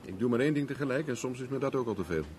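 An actor in a 1970s radio drama playing a flippant, sardonic private investigator who talks in dry, laconic, teasing replies. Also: male voice, mid-range voice, animated, very fast, darkish tone, natural voice. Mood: casual, probing. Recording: slightly noisy old radio recording.

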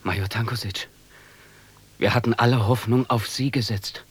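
Male actor as teenage revolutionary, radio drama: slightly rough husky voice, earnest, fervent, respectful. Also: medium pitch, fairly even intonation, normal speed, lightly acted. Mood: fervent pleading. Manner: solemn, formal, fervent. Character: earnest, idealistic nineteen-year-old male revolutionary. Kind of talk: German radio drama acting.

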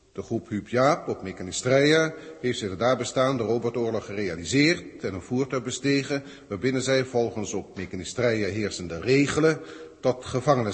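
An actor playing a pompous, pedantic alien senior scientist with stilted formal diction in a 1960s science-fiction radio play. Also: male voice, medium pitch, close to an everyday voice. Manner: stilted, elevated, officialese diction. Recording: 1960s radio recording, long cavernous reverb.

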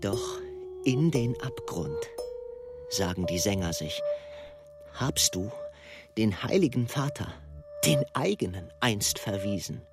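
An actor playing a solemn, accusing reciter who declaims an ode in a radio play. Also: male voice, lowish voice, sing-song, slow, pauses often, full character voice. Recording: loud ringing music under the voice.

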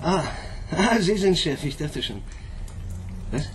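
An actor playing a nervous, bumbling police inspector who stammers in a radio drama. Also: male voice, on the high side, expressive, slow pace, slight background noise. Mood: flustered.

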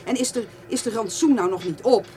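A woman's voice: on the high side, a little fast, mellow, lightly acted. An actress playing an insistent, protective farmer's wife and mother in a radio play, chatty in manner. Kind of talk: radio drama dialogue.

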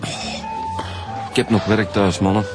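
An actor playing a probing detective with a Flemish accent in a radio drama. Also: male voice, fairly low pitch, level tone, normal speed, music in the background, lightly acted. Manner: probing questions. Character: Rudi Dams, questioning detective.

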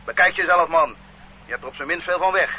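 Brief: an actor doing a gruff, self-satisfied street cop, slightly high voice, dull radio sound with faint hum. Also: male voice, fast talker, close to an everyday voice. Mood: stern. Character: bullying, greedy male street policeman. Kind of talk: radio play acting.

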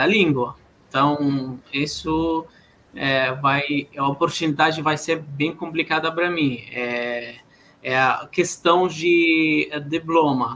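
A man's voice: on the high side, a bit bright, slight background noise. A man who learned Portuguese as a second language, talking hesitantly with many restarts during a live stream chat. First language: not Portuguese. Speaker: guest developer.

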